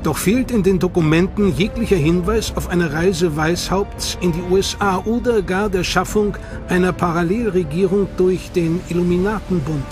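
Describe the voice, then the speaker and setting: A man narrating a documentary in a measured, dramatic manner. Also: sits high. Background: music.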